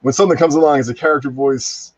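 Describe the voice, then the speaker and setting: A man with a mid-pitched voice rambles casually through anecdotes as a guest. The recording is dry and a little dull, like a muffled online call. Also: fairly even intonation, quick pace, no room sound. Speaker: podcast guest, voice actor. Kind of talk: casual chat.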